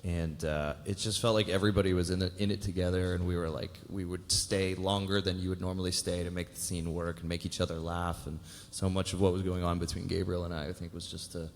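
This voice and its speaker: A man with a very low voice talks casually on a cast panel. He jokes easily, as an actor swapping memories. The sound is a reverberant live hall with light noise. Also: level tone, fast talker, few pauses. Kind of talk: casual, joking panel chat. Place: large hall at a live festival event. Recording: echoey hall, noisy live panel recording.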